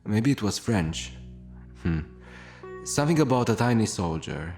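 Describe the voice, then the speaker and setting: A man's voice: fairly low pitch, animated, unhurried, a little mellow. A man playing a melancholic, world-weary radio host, delivering a brooding, wry confessional monologue in florid words. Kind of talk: scripted radio monologue, noir-like confessional narration. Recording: music under the voice. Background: guitar music.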